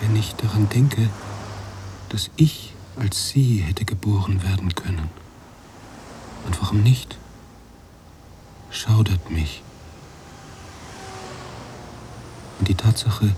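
An actor playing a brooding, guilt-ridden writer who narrates a radio drama in a deliberate, confessional way. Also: male voice, sits low, background noise. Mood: haunted, hushed. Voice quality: husky, hushed at times. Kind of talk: literary radio drama monologue.

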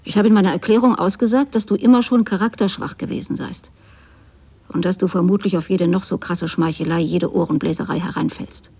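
A middle-aged woman acting a bitter, reproachful mother in a radio drama, speaking precisely and theatrically in a husky, creaky voice. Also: lowish voice, steady tone, brisk, dark tone. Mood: vindictive, accusing.

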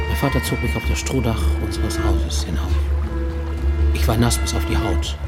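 Radio drama: male actor as orphaned survivor narrating his past, low register, measured literary delivery, scored with music. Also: close to an everyday voice. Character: grown Japanese man, orphaned as a child, recounting to a professor. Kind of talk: literary first-person monologue in a radio play.

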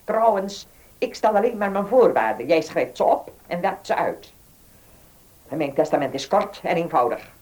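A woman's voice: lowish voice, expressive, moderate pace, darkish tone, natural voice. An actress playing a domineering older lady of an estate in a radio drama, brusque, stubborn and formally commanding. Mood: imperious, businesslike. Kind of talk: Dutch radio drama acting.

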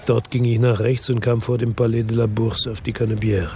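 A man's voice: little pitch movement, a little fast, a little hiss or hum, close to an everyday voice. An actor playing a weary hard-boiled investigator who narrates his own story in a radio drama, terse and matter-of-fact. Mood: measured, tense. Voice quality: low, gravelly, husky. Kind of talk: scripted first-person radio drama narration. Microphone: close dry studio microphone.

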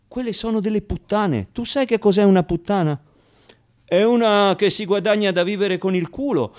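Radio play foster mother played by a man: older woman, blunt, brash, emphatic lecturing, raised pitch. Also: moderate pace, a little mellow, natural voice.

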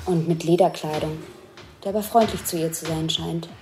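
A woman with a fairly low voice gives observational commentary, describing scenes one by one. Music runs underneath her speech. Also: fairly even intonation, moderate pace.

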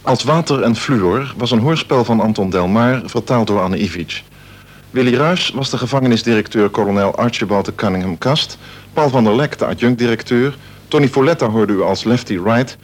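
A man's voice: medium pitch, moderate pace. A man reading scripted radio play credits as an announcer, in a formal, orderly manner.

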